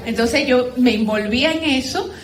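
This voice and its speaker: High-voiced Dominican woman, echoey room. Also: normal speed, a crowd in the background. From Dominican Republic. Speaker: book club participant. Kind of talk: informal spoken commentary at a literary gathering. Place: echoey room at a live group gathering. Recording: roomy echo, faint background noise.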